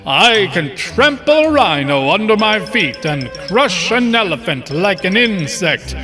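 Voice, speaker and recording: A man's voice: high voice, sing-song, unhurried. A man playing a boastful intruder who poses as an invincible warrior in a children's bedtime story. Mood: nervous, stammering. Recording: long hall reverb, music bed.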